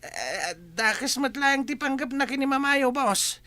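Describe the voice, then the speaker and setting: Man acting a high-pitched, nervous, stammering henchman. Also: animated, a little slow, bright, forceful tone, no room sound, full character voice. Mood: nervous confessing. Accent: Ilocano. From Philippines. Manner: hesitant, stammering. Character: nervous, pleading henchman confessing to his boss. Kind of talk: Ilocano radio drama acting.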